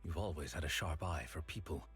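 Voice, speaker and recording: A composed game character, a formal envoy with a low, deep voice. He is polite and measured, every phrase courteous, with music playing underneath. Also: a bit bright. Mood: respectful, sincere. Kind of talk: video game voice acting.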